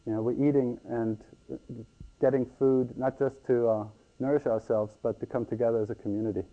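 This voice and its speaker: A man from Ecuador giving a conference talk as a farmer, halting with many ums and restarts. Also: medium pitch, steady tone, narrow, tinny audio.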